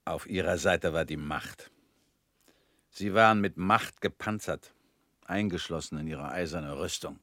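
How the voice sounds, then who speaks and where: An actor in a radio play playing a calm former prisoner who questions in a cool, probing, slightly ironic way. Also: male voice, low voice, unhurried, no room sound, clean studio sound, full character voice.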